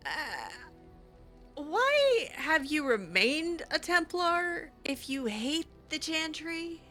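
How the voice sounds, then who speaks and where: A woman improvising in-character chat over a video game, casual and halting, with a teasing streak. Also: sits high, sing-song, bright, forceful tone. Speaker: gaming channel host, roleplayer. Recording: music behind the voice. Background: game music.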